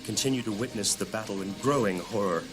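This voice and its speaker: Low-voiced man narrating dramatic fantasy. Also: quick pace, music in the background.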